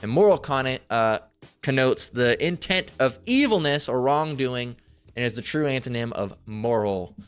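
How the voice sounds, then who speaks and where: A man reading verses aloud and commenting on them in a loose, profane way. He trips over hard words and thinks out loud while he searches for things. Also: medium pitch, lively intonation, unhurried, clean tone. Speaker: online religious commentator. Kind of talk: casual scripture reading and commentary.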